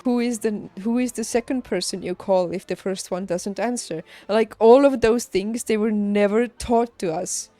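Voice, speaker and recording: A young adult woman chatting casually on a livestream, telling personal stories in an emphatic, animated and informal way. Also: mid-range voice, moderate pace, few pauses, no echo. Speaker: livestreamer, former nurse. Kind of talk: casual stream chat, personal storytelling. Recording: background music behind close dry voice. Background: music.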